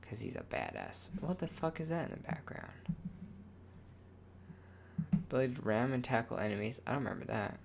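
A man's voice: mid-range voice, wildly swooping pitch, brisk, vocal fry, long pauses, noisy background. A man hosting a gaming video with casual commentary, swearing and muttering to himself as he plays. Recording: music behind the voice. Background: loud game music.

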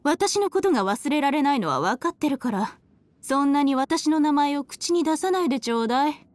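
A woman playing a haughty, cruel, elegant villainess in a Japanese anime game, with a condescending, mocking, drawn-out aristocratic delivery. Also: high voice, animated. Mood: mocking, aloof. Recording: studio voice over game music.

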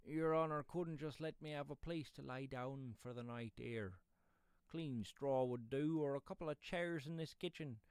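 A man's voice: medium pitch, moderate pace, smooth, no echo, pitched above the performer's own voice, lightly acted. A man voicing a shabby, furtive little pedlar in a storybook reading, humble and hesitant, with rustic pleading speech. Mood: submissive, pleading. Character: shabby, furtive, undersized pedlar, submissive and wheedling. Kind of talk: audiobook character voice.

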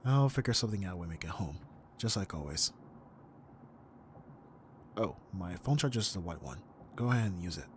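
A man's voice: low-pitched, animated, moderate pace, long pauses, slight background noise. A young man acting as an easygoing, teasing best friend in a casual, intimate ASMR roleplay. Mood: playful, teasing.

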